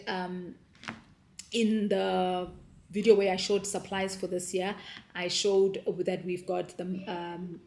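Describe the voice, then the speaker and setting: South African woman, 30s, low-ish voice, relaxed homeschool vlog chat, roomy sound. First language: not Afrikaans. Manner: relaxed, many ums and restarts. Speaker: homeschooling mom and vlogger. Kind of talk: casual explainer vlog.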